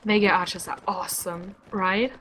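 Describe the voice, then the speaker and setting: A woman commentating a live online game match, chatty and quick to laugh, sounding a little sick. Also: mid-range voice, steady tone, a bit bright, slight background noise. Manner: chatty, laughs often, uses "like" a lot. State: sick, slightly rough voice. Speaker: esports commentator.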